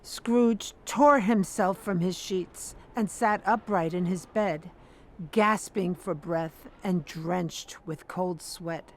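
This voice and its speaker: A woman's voice: lowish voice, takes it slowly. A woman giving scripted storybook narration for an audio drama.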